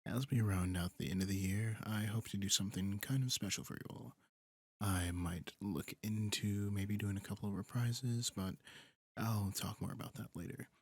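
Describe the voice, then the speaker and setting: Guy doing a casual creator outro, lowish voice, dry close sound. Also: moderate pace, darkish tone, breathy edge, no room sound. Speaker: audio roleplay creator. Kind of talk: casual outro thanking listeners.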